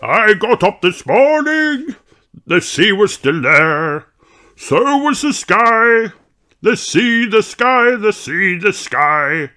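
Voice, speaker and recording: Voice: medium pitch, sing-song, slow, bright, forceful tone, soft background music, pitched above the performer's own voice, exaggerated voice. A storybook reader voicing a lonely, eccentric old male rabbit who keeps a lighthouse. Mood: singing. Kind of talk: children's storybook character voice.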